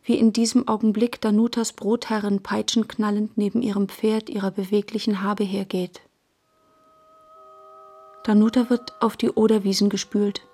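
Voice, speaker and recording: A woman's voice: medium pitch, level tone, normal speed, dark tone, breathy edge. Plain-spoken woman reading terse literary prose. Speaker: narrator in a radio literary feature.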